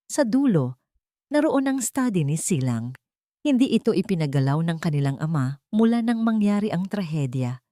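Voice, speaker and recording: Female narrator, dramatic scripted storytelling, animated intonation, dry studio-like sound. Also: sits low, no room sound.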